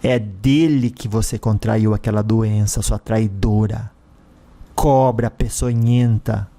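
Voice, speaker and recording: A man's voice: lowish voice, fairly even intonation, dark tone, pitched below the performer's own voice. A man voicing a cruel, vengeful dead husband in an audio story, a mocking spirit with a sneering, menacing manner. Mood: bitter, accusing. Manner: menacing, sneering, with drawn-out villain laughs.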